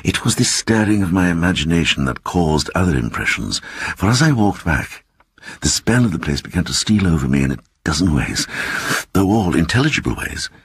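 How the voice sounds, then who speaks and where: Actor as a gravelly, diffident little man. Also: deep voice, a little fast, exaggerated voice. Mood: wondering. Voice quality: soft voice. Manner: hesitant, earnest, growing excited. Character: timid, diffident little man earnestly recounting his eerie adventure. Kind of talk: dramatic audiobook storytelling.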